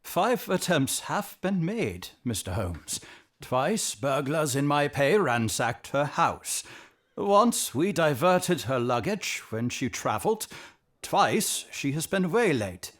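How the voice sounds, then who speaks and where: A proud but anxious king in an audio drama. He speaks very slowly in a somewhat high, highly varied voice. The manner is pompous and formal, with halting hesitations. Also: sing-song, a bit bright, heavily acted. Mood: grave, anxious.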